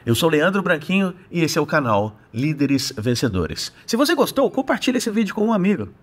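A man's voice: fairly high pitch, big pitch swings, fairly quick, slightly rough. A man giving earnest, inspirational narration as a channel host, with rhetorical questions. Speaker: channel host, sales and leadership speaker. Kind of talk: scripted motivational narration. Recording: voice-over over loud music. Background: loud background music.

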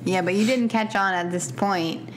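A woman co-hosting a casual podcast as the superfan, chatty and quick to laugh as she fills in details. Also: mid-range voice, slight background noise, low-quality recording. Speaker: podcast co-host, superfan of the show. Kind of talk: casual chat.

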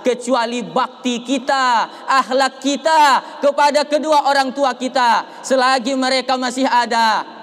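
A young man preaching an Eid sermon and prayer from a pulpit outdoors, impassioned and rising to shouts on key lines. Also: very high voice, in a large hall.

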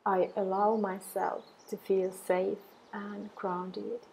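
Female meditation guide. Very slow, even delivery with a creaky edge, soothing and measured. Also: medium pitch, a little hiss or hum, rough recording. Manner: soothing, measured, deliberate phrases with long pauses.

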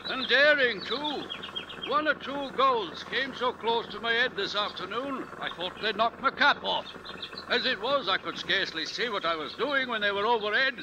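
An actor playing a weathered, plain-spoken farmer, folksy and wary, in a 1950s radio drama. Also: male voice, very high-pitched. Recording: noisy old radio drama recording.

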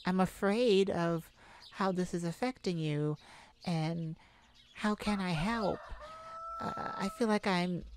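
Woman playing a slow-talking, anxious, kind mage. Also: on the low side, slight background noise. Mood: worried, tender. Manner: hesitant, stammering, soft-hearted worrier. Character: kind, anxious young mage fretting over a childhood friend. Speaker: audio roleplay voice actor. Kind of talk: ASMR audio roleplay, intimate one-sided conversation.

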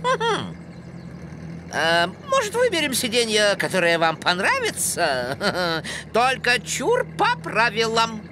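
Lively, fussy cartoon lady owl. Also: on the high side, takes it slowly, background noise, full character voice. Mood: brisk. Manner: didactic, expressive lecturing. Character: motherly, instructive female owl, fussy schoolteacher type. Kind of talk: animated educational cartoon acting. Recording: music behind the voice.

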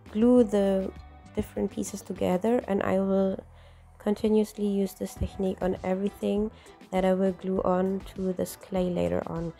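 A woman with a deep, husky voice, hoarse from a cold, explaining each step of a craft tutorial, with small slips. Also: dark tone. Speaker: craft channel host.

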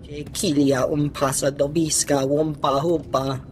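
A woman's voice: deep voice, level tone, unhurried, darkish tone, slight background noise. A woman voicing an alien gangster in a video game, speaking choppy phrases of an invented alien tongue. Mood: guarded. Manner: nonsense syllables in choppy phrases.

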